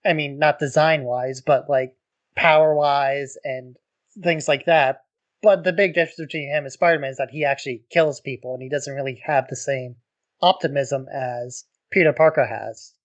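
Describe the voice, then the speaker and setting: A man co-hosting a podcast, talking in a relaxed, casual way and saying "like" often. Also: mid-range voice, moderate pace. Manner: relaxed, peppered with "like", laughs easily. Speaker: podcast co-host.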